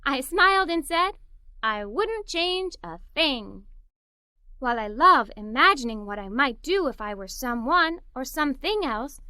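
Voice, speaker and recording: A woman reading a children's story aloud in the first person as a cheerful, imaginative little girl, with an expressive, playful delivery. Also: high voice, a little slow, clean tone, dry recording.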